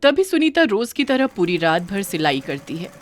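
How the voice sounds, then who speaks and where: A woman's voice: mid-range voice, very animated, normal speed, faint music behind. A woman reading a scripted children's moral story as its narrator.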